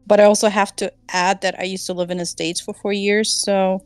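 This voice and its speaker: A woman's voice: medium pitch, flat delivery, brisk, clear, resonant tone, no echo. Chatty woman hosting a call. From Germany. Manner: chatty, giggly, many fillers. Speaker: online class host. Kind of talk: casual group call chat.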